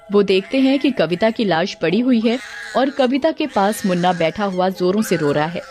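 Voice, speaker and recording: A woman's voice: mid-range voice, a little mellow. A woman narrator reading a scripted story.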